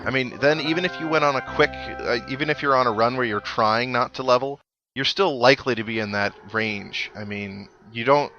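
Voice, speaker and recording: A man's voice: medium pitch, fairly quick, pushed and bright, dull audio. A young man giving casual, rambling, self-deprecating gameplay commentary with video game music behind him. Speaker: video game let's player.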